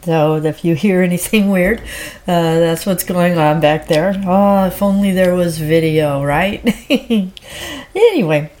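A woman's voice: fairly low pitch, lively intonation, slow, darkish tone. Stuffy-voiced woman chatting on a podcast. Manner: chatty, rambling with frequent fillers. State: stuffy from a head cold. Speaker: podcast host. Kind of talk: casual solo podcast chat.

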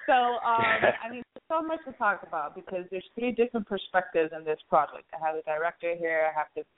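A woman with a fairly low voice hosts a relaxed, conversational interview. She asks her questions informally. The audio is narrow and tinny, like a telephone line. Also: quick pace, noisy background. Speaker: interviewer, show host.

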